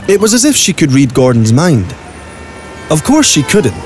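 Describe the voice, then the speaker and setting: A man's voice: a little high, sing-song, normal speed, darkish tone, soft background music. A man reading scripted narration for an audio drama.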